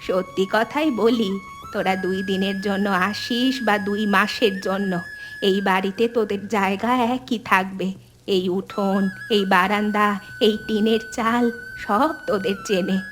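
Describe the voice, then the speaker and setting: Woman voicing a slow, tender elderly mother. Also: medium pitch, fairly even intonation, over music, lightly acted. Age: older. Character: elderly loving village mother and grandmother, tender, sentimental. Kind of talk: animated family drama dialogue.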